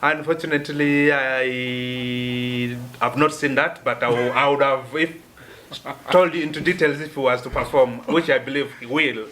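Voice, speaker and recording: A man's voice: medium pitch, steady tone, moderate pace, a bit bright. A man with a Ugandan accent answering interview questions as an event organizer, explaining earnestly and repeating himself.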